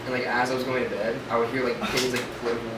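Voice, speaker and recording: A man's voice: medium pitch, flat delivery, rapid-fire. A teenage boy co-hosting a podcast with casual, joking banter. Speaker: podcast co-host. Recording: echoey, distant mic, very noisy.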